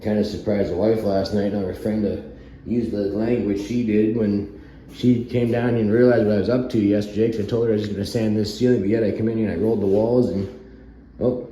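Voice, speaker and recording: Hoarse man rambling in an echoey basement. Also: on the low side, brisk, slight background noise. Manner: relaxed, rambling, chuckles often. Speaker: vlogger. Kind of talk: casual walkthrough chat. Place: home basement under renovation.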